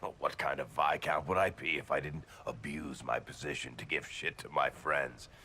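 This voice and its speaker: Gravelly, low, wry dwarf. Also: male voice, normal speed, bright, forceful tone, a little hiss or hum, full character voice. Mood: warm, teasing. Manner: sardonic, casual, swearing banter. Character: wry, irreverent dwarf newly elected as city ruler. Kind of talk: video game voice acting.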